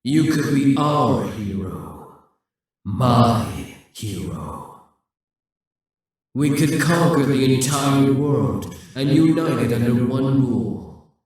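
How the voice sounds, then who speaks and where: A man playing a proud, dominant demon king in an ASMR roleplay, speaking in a grandiose, deliberate, seductive way. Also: mid-range voice, darkish tone, very echoey, recorded from a distance, lightly acted. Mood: persuasive, coaxing. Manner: grandiose, deliberate, coaxing and menacing.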